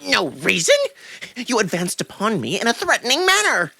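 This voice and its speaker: A man's voice: high voice, wildly swooping pitch, normal speed, creaky, pushed and bright, voice pushed up, full character voice. A man voicing a sly, wounded male cat in a visual novel, haughty and evasive, with formal, indignant phrasing. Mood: hurt, indignant. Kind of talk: visual novel character acting.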